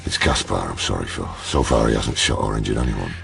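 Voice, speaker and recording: An actor playing a gruff, blunt British detective inspector in a naturalistic radio drama. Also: male voice, brisk, fairly bright tone, soft background music, exaggerated voice. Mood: grim, resolute. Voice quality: very deep, gravelly. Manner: blunt, sweary, matter-of-fact. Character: gruff, tough, pragmatic male police detective inspector.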